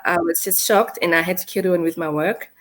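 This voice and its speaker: A woman in her thirties with a South African accent, telling a painful personal story in a halting, hesitant way. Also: lowish voice, steady tone. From South Africa, North West. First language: Setswana. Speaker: guest. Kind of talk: emotional personal account.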